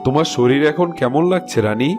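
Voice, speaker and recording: A man acts an anxious king in a cartoon fairy tale. He speaks earnestly at a medium pitch, pleading like a devoted husband and father, while music plays behind him. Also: a little fast, lightly acted. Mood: worried, tender.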